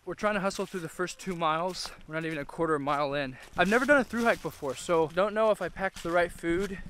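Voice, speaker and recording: A young man vlogging outdoors on a hiking trail, talking excitedly to a handheld camera at arm's length. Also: high voice, quick pace, a little hiss or hum. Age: young adult. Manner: excited, breathless narration while hiking. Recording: music playing under the voice.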